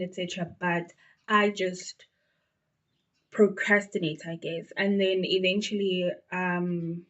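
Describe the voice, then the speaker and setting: A woman's voice: mid-range voice, muffled recording. Chatty young South African woman vlogger. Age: 20s. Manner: chatty, warm, casual, lots of fillers. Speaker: beauty vlogger. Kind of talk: casual get-to-know-me chat.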